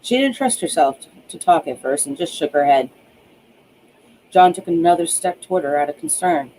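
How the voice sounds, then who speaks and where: Guy reading a romance audiobook, kinda high voice, dramatic w some stumbles, bit of background noise. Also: lively intonation, normal speed, darkish tone, low-quality recording. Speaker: author reading own novel aloud. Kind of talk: audiobook narration of a romance novel.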